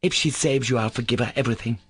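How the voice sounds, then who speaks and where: A man's voice: medium pitch, quick pace, a bit bright, slightly rough. A man voicing a vindictive, bitter young woman in an audiobook, with a venomous edge. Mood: disgusted. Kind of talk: audiobook character voice.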